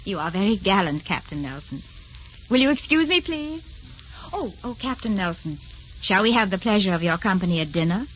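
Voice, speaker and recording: Vintage muffled radio drama, actress as gracious lady, wistful, poised, animated intonation. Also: mid-range voice, fairly quick, slight background noise, natural voice. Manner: refined, poised, expressive. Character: gracious, wistful young society lady, ambassador's wife. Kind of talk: 1940s radio drama acting. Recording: dull old radio recording.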